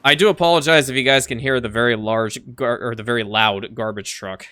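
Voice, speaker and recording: A man's voice: mid-range voice, fairly even intonation, fairly quick, bright, forceful tone, dry recording. A man whose first language is Farsi, chatting casually as he plays a city-building game, often repeating a word as he restarts a sentence. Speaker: let's play creator. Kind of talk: casual gameplay commentary.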